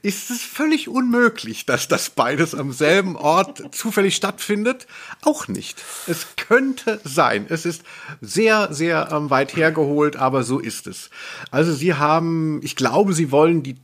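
A man's voice: fairly high pitch, expressive, a little slow, fairly bright tone. A man co-hosting a podcast in casual conversation, talking in an ironic, rambling way. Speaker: podcast co-host. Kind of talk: casual chat, review of audio dramas.

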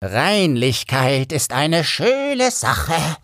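A man's voice: fairly high pitch, big pitch swings, a bit bright, no room sound, clean studio sound, voice pushed up, full character voice. A man voicing a greedy, menacing female sea witch in a storybook reading, drawing out the words with relish. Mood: gloating. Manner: slow, drawn-out, relishing menace.

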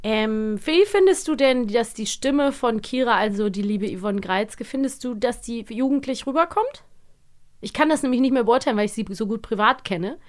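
A woman's voice: a little high, moderate pace. Youthful-sounding woman chatting casually. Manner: youthful-sounding, animated, colloquial. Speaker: podcast host and voice actress. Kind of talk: casual podcast chat.

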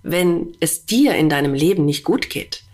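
Woman with a stuffy nose, slow unhurried pace, slightly low voice, earnest direct address, podcast host. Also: lively intonation, darkish tone. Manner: earnest, addresses listener directly with rhetorical questions. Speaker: animal communicator. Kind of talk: spontaneous monologue to listener.

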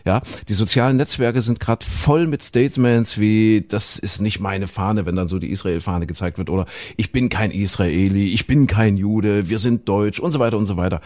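A man co-hosting a podcast while he has a cold, talking earnestly in a casual discussion, often halting with fillers and repeated words. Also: lowish voice, darkish tone, dry recording, studio quality. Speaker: podcast co-host.

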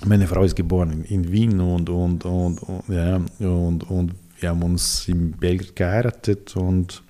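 A man's voice: sits low, level tone, a little slow, warm tone. A middle-aged man with a Serbian accent, Serbian his first language, telling his story in a casual interview with many hesitations and restarts.

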